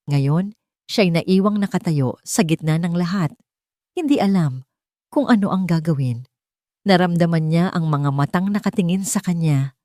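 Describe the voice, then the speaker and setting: A woman's voice: low voice, a little mellow, dry recording, studio quality. A woman reading a scripted dramatic audiobook as the story's narrator.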